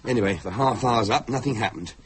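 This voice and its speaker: A man's voice: mid-range voice, fast talker, slight background noise, lightly acted. A man acting in a radio drama as a protective husband, indignant and scornful of foolery.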